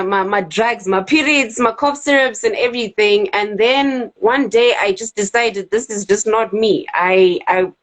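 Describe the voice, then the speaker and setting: Zimbabwean woman, thirties, animated chatty guest, mid-pitched, laughs a lot. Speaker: guest, club DJ. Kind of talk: casual interview chat.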